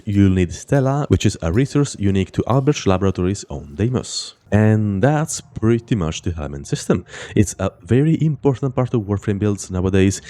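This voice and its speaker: A young man with a cold, narrating a scripted game guide in a steady, explanatory way. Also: on the low side. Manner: steady explanatory, then loose chatty sign-off. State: has a cold, voice off. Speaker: gaming channel host.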